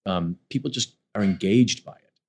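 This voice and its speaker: A male stage actor in an interview, telling anecdotes in an animated way and acting out what people said. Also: sits low, moderate pace, slightly rough. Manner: animated storyteller, acts out quoted lines. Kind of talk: conversational interview.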